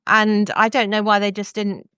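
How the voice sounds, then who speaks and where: A woman podcast host with a smooth, clear voice. She talks in a conversational reviewing style, and the sound is close and dry. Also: medium pitch, monotone, normal speed, pushed and bright, dry recording.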